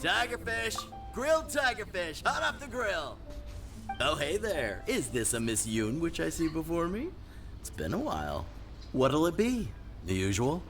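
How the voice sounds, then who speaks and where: A cheerful grill cook in a video game, with a mid-pitched voice that swings up and down. He is chatty, folksy and eager, with music clearly behind him. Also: sing-song, a little slow, fairly bright tone, full character voice. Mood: cheerful hawking. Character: cheerful, chatty male food stall cook and vendor.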